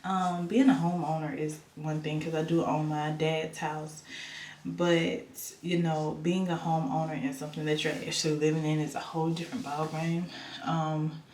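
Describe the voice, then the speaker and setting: A woman's voice: deep voice, flat delivery, moderate pace, a little mellow. Young woman vlogger, stuffy nasal voice, rambling casual delivery, echoey room, faint hiss. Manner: rambling, repeats herself, many ums. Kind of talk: casual vlog chat. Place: in her home. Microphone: camera on a stand.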